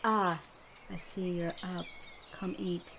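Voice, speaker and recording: A woman's voice: fairly low pitch, level tone, slow, noisy background. A woman voicing a curt, bossy female slasher who is coldly commanding and grudgingly protective, in a roleplay audio drama.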